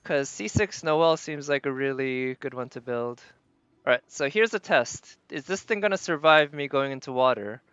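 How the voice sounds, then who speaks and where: A man gives casual gameplay commentary in a clear, smooth voice at a medium pitch. He muses out loud and chats with viewers in a tentative, reasoning way. The sound is close and dry, with a slightly muffled top end. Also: normal speed, no room sound. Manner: thinks aloud, tentative and musing. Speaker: live streamer playing a video game.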